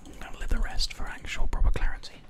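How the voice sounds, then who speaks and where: A game streamer doing hushed ASMR play-by-play in an unvoiced whisper, close to a binaural microphone. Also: fast talker. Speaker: host, gamer. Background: keyboard and mouse clicks.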